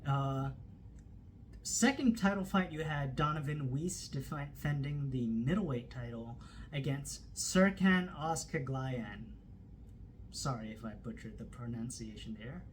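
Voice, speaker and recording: A man's voice: medium pitch, lively intonation, measured pace, a little hiss or hum, low-quality recording. A man hosting a solo combat sports podcast, recapping fight results in a casual, unscripted way with plenty of fillers and self-corrections. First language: not Turkish. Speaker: podcast host. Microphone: phone camera.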